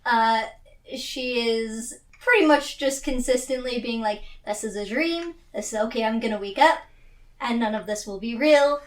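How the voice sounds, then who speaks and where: A woman's voice: on the high side, moderate pace. A woman casually describing her character's actions as a player in a tabletop game. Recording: echoey room, slightly distant mic.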